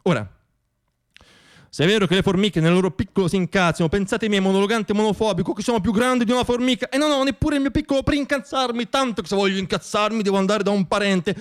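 A man's voice: high-pitched, quick pace, clean tone. A man performing a theatrical comic monologue as a storyteller, wry and self-deprecating, repeating words for effect.

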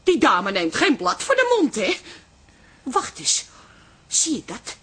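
An actress playing an indignant, stubborn middle-aged wife and daughter in a radio play, sharp-tongued and exclamatory. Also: female voice, a little high, wildly swooping pitch, slow pace, breathy edge, full character voice. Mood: shocked, upset. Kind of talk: naturalistic Dutch radio drama acting.

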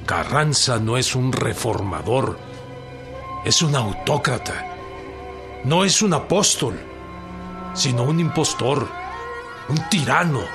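An actor playing an indignant revolutionary leader with a Mexican accent, declaiming a manifesto in an emphatic radio drama style. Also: male voice, mid-range voice, animated, slow pace, long pauses. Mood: indignant, accusing. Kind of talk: dramatized proclamation in a radio docudrama. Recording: music behind the voice. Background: prominent music.

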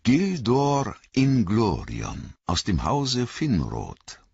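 A man voicing an ancient, gracious elf lord who speaks slowly and solemnly. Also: mid-range voice, wildly swooping pitch, a little mellow, slightly rough, no echo, muffled recording, pitched above the performer's own voice, exaggerated voice. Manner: slow, solemn and stately.

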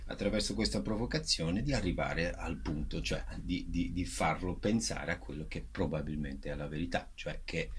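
A man's voice: low-pitched, moderate pace, few pauses, a little hiss or hum. A man answers interview questions earnestly, searching for his words. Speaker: theatre actor and director, interview guest. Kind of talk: interview answers about a stage show.

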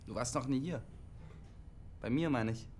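An actor playing a lonely, guarded young CB radio operator, speaking haltingly and repeating himself in a naturalistic radio drama. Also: male voice, mid-range voice, darkish tone, slight background noise, natural voice. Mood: insistent, nervous. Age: young adult.

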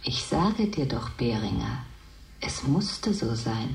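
A woman playing a calm, all-knowing computer in a radio drama, speaking in a measured, matter-of-fact way and repeating herself to correct phrases. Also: very deep voice, gravelly, exaggerated voice. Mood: calm, detached. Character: calm, all-knowing female station computer named Edith. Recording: echoing, reverberant radio play voice.

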